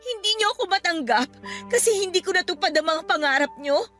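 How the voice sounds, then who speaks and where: A woman's voice: extremely high pitch, expressive, fairly quick, sharp tone, faint music behind, heavily acted. A woman playing an earnest, hurt grown daughter who pleads emotionally with her mother in a Filipino radio drama. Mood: hurt, defiant.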